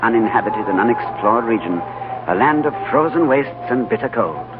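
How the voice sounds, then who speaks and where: An actor playing a calm, courteous English diplomat adventurer, in 1940s radio drama style, telling his tale with composed understatement. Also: medium pitch, normal speed, very noisy, lightly acted. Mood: grave, awed narrating. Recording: tinny 1940s radio broadcast, loud music.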